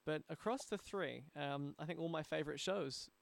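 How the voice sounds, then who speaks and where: Creaky man hosting, close and dry. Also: fairly high pitch, moderate pace, no echo. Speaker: interview host. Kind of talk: conversational podcast interview.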